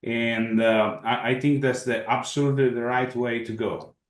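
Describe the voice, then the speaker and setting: A man's voice: mid-range voice, flat delivery, moderate pace, roomy sound. A man, a native Italian speaker, who chairs a caving club, talks eagerly on a video call, restarting his sentences as he goes. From Italy. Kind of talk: video call question and answer.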